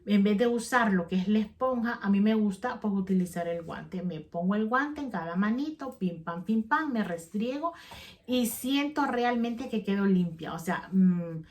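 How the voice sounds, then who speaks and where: A woman's voice: mid-range voice, expressive, normal speed, darkish tone. Chatty woman vlogging. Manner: rambling, chatty, self-correcting; addresses viewers directly. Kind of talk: casual chat to camera.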